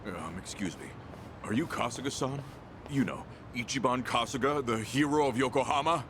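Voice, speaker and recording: A man's voice: medium pitch, moderate pace, background noise, natural voice. A man voicing a desperate, emotional down-and-out ex-yakuza family man in a video game drama. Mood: humble pleading. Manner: pleading, emotional.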